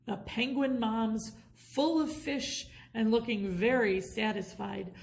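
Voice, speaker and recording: Lady reading a bedtime story, slow and expressive, highish clean voice with no creak. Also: low-quality recording. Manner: deliberate, expressive. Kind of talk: expressive children's storybook narration.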